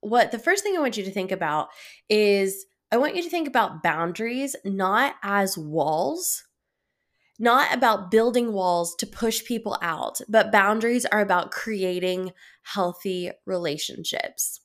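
A woman's voice: mid-range voice, expressive, unhurried, a bit bright. A young adult woman hosting her own solo podcast, speaking with a head cold, reflective and encouraging, with fillers and restarts. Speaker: podcast host.

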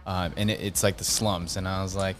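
A man's voice: sits low, flat delivery, fairly quick, soft background music. A man co-hosting a casual chat, curious and prodding with follow-up questions.

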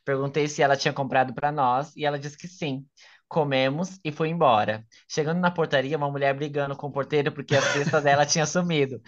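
Campy Brazilian man, lightly muffled, highish voice. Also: a little fast. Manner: campy, animated banter with slangy exclamations. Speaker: podcast co-host. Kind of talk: casual comedic chat, reading and reacting to stories.

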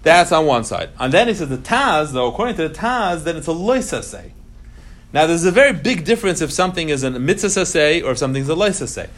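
A man's voice: on the high side, lively intonation, quick pace, fairly bright tone. A man teaching an informal class on religious law, explaining emphatically, repeating key phrases and mixing Hebrew terms into his English. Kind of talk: informal lecture to students.

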